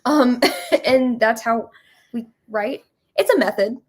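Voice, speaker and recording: A woman's voice: on the high side, slow pace. A young woman, a composer being interviewed, chatting casually in a giggly, effusive way. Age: young adult. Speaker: interview guest, musical theater composer. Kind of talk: casual chat.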